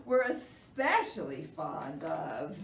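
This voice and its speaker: A woman hosting storytime for young children, reading aloud in an animated, expressive way. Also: sits low, slow pace, gravelly. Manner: animated, expressive, with theatrical gasps. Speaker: children's librarian and storytime host. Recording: roomy, distant mic, faint noise.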